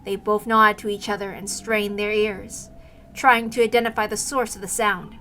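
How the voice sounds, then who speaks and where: A woman's voice: medium pitch, flat delivery, moderate pace, sharp tone, a little hiss or hum. A woman narrating a story in an amateur read-aloud, as its reader.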